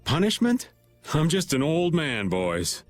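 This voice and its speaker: A man's voice: on the high side, huge pitch swings, unhurried. An actor playing a laid-back, middle-aged male archer who drawls and cracks jokes. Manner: drawling, wisecracking. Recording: game music underneath.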